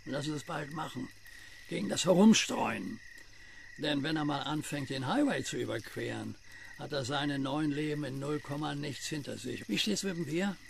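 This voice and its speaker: An actor playing a friendly, knowing old country neighbour in a radio play, gravelly and colloquial. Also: male voice, a little high, moderate pace, a little hiss or hum. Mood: folksy, relaxed. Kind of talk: German radio play acting.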